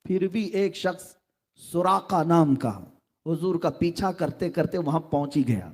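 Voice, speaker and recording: A middle-aged man preaching a sermon, telling a story with dramatic swings between quiet lines and loud exclamations. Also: on the high side.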